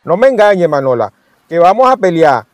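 Man acting out a bossy jealous bar owner, Venezuelan accent, high swooping voice, colloquial, blustery, radio soap, dry sound. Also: big pitch swings, moderate pace, dry recording. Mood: angry, jealous. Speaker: radio drama actor.

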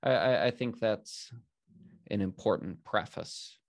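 A man's voice: on the low side, takes it slowly, vocal fry, dry recording, clean studio sound. A young man hosting a faith podcast, speaking earnestly in casual talk, often restarting sentences and using fillers. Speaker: podcast host.

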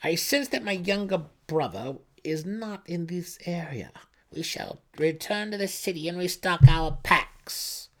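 A man voicing a cruel, imperious female dark elf high priestess with a menacing, scornful snarl in an audiobook. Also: expressive, measured pace, exaggerated voice. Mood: commanding.

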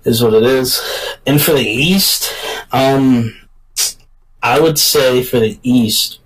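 A man hosting a casual sports talk show, speaking in a deliberate, halting way. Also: mid-range voice, slightly rough, recorded from a distance, low-quality recording. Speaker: sports podcast host.

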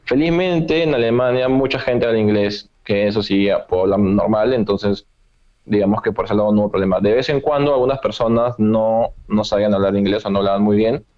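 A man in a casual chat about travel, speaking in a measured way and often tagging his sentences with a questioning "right?". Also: fairly low pitch. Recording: dull lo-fi sound.

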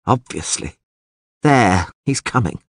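A man voicing a peevish, sharp-tongued older priestess in a storybook, sarcastic and scornful. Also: on the low side, animated, takes it slowly, no echo, clean studio sound. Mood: peeved.

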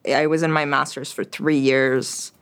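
A woman's voice: a bass voice, flat delivery, measured pace, clean tone. Chatty young woman, French first language. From Quebec. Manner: chatty, frequent fillers and restarts. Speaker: interview guest. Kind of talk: casual interview talk.

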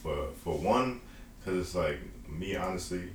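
A man's voice: low voice, animated, a little slow. A man talks loosely about his music, full of slang and "you feel me". His voice sounds roomy and echoey, with faint noise behind. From Long Island, New York. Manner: loose, rambling, slangy with many fillers. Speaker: indie rapper guest. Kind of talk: casual interview.

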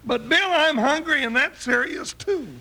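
A high, scratchy-voiced comic cowboy sidekick from a radio western. He drawls in rustic American Western style, always hungry and folksy, with a swooping delivery. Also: animated, measured pace, bright, forceful tone, heavily acted. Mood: plaintive. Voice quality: creaky, gravelly. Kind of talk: old-time radio western acting.

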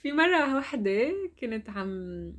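A woman's voice: on the high side, animated, measured pace, a bit bright. A young woman with an Algerian Arabic accent, chatting casually and telling a personal story. She laughs often and mixes French words into her speech.

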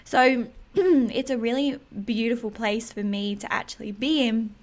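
An Australian woman with a slightly husky voice, talking candidly and emphatically in a casual solo podcast monologue. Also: a little high, measured pace. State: throat a bit hoarse in early morning. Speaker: podcast host.

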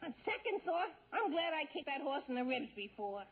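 A man's voice: very high voice, very animated, brisk. A man playing a whiny, balky, wisecracking teenage boy who complains plaintively in 1940s radio comedy. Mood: grumbling. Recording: tinny 1940s radio broadcast, audience noise.

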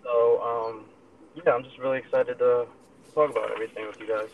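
A man's voice: mid-range voice, steady tone, a little fast, recorded from a distance, phone-quality sound, a little hiss or hum. A man in his twenties from Puerto Rico, a podcast guest speaking eagerly and sincerely. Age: 20s.

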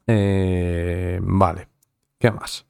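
A man's voice: low-pitched, little pitch movement, drawn-out words, vocal fry, warm tone, smooth, no room sound, clean studio sound. A young man from Madrid with a Madrid Spanish accent, chatting casually with viewers in a rambling, profane way full of fillers and restarts. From Madrid, Spain. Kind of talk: casual chat.

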